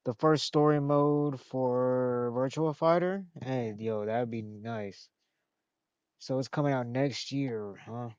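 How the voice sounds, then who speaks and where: A man's voice: mid-range voice, fairly even intonation, takes it slowly, a little mellow, smooth, no echo, muffled recording. A young man streaming a game, chatting casually, rambling, talking to himself, and swearing often. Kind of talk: casual gaming stream chat.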